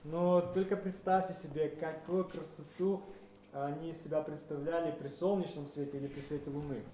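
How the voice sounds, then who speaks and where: A man's voice: on the high side, steady tone, normal speed, mellow, slight background noise. A man interpreting a sermon live, hesitant, with filler sounds and frequent self-corrections. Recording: roomy hall with faint ambience.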